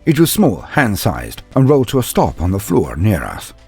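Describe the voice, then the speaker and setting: A man acts out an earnest, bulky young warrior-psyker telling his own story. His voice is low and husky, with dramatic swells and drops and solemn, formal, deliberate phrasing. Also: huge pitch swings, a little mellow, faint music behind, heavily acted. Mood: tense, dramatic. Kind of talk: dramatic first-person story narration.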